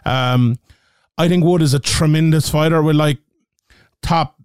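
Smooth-voiced Irish man talking bets. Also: fairly high pitch, unhurried, darkish tone, dry recording, studio quality. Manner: thinking aloud, checking odds as he goes. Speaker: host. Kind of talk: casual betting picks talk.

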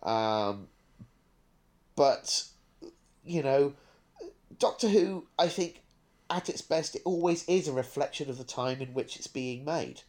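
A man's voice: mid-range voice, animated, slow, pauses often, a little mellow. A man hosting a podcast in casual review chat, thinking aloud in a discursive way. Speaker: podcast host.

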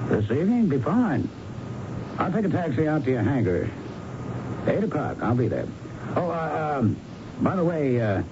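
Probing investigator, lively American voice. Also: male voice, medium pitch. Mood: businesslike. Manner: probing, casual, hesitant lead-ins to questions. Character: probing, casually persistent California patrol investigator. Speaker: actor playing an investigator. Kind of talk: radio drama acting with narration. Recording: dull, noisy 1970s radio broadcast recording.

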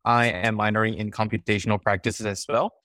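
A man's voice: on the low side, steady tone, a little fast, fairly bright tone, no room sound, clean studio sound. A young man from Singapore, a design student guest on a podcast, talking eagerly and chattily with fillers. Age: young adult. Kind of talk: casual podcast chat.

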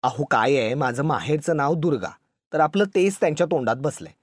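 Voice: medium pitch, brisk, no echo, clean studio sound, lightly acted. A narrator voicing a touchy, dramatic young village wife who talks in an emotional, scolding, plaintive way. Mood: chatty, defensive.

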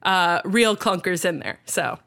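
A woman in a loose podcast conversation, her voice bright and forward. She tells stories with animated energy and laughs often between lines. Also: lowish voice, unhurried. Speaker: guest. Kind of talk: casual podcast chat.

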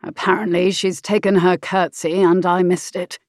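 A woman's voice: lowish voice, level tone, normal speed, a little mellow, dry recording, clean studio sound, close to an everyday voice. A woman voicing an arrogant, selfish nobleman in an audiobook, sneering and commanding. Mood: grumbling.

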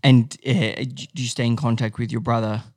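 A man's voice: medium pitch, level tone, brisk, a little mellow, no room sound, clean studio sound. A man interviewing a guest, asking rambling questions with stumbles and restarts.

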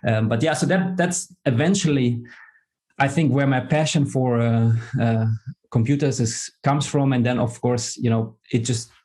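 Hesitant man, mellow voice. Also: mid-range voice, moderate pace. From Germany. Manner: hesitant, many fillers and restarts. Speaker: guest, tech executive. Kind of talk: casual podcast interview.

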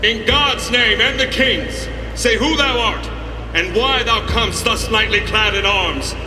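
An actor playing a stern ceremonial marshal in a Shakespearean radio drama, proclaiming formal challenges. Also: male voice, high voice, unhurried. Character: booming ceremonial marshal, stern herald of combat. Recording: distant, echoey noisy hall.

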